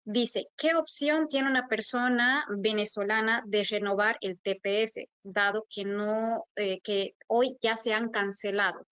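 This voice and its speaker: Woman webinar host, polite and hesitant; smooth voice, dry muffled audio. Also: mid-range voice, fairly even intonation, a little slow, hardly any pauses, a bit bright, no room sound. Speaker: webinar moderator. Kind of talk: reading audience questions.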